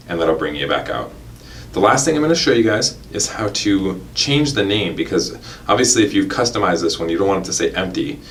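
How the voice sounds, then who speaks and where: A man's voice: low-pitched, a little hiss or hum. A man demonstrating a product in a casual, step-by-step tutorial, explaining informally and talking straight to the viewers.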